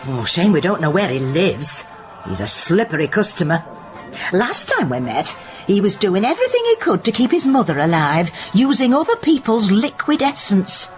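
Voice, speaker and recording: A woman's voice: medium pitch, normal speed, faint music behind, natural voice. A woman acting a nosy, gossipy guesthouse landlady who narrates her own story in a radio drama. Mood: scheming. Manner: lively, gossipy, laughs easily. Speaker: narrator and lead character.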